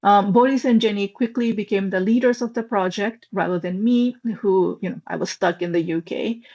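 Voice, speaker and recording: A woman's voice: mid-range voice, normal speed, a little mellow. Woman giving an academic webinar talk. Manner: thoughtful, with frequent ums and uhs. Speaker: webinar speaker, anthropology lecturer. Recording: slightly dull, lo-fi online call audio.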